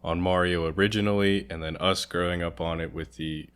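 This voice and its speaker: A man's voice: low-pitched, fairly even intonation, brisk, fairly bright tone, smooth. A young man giving his opinions as co-host of a casual, conversational movie review. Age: young adult.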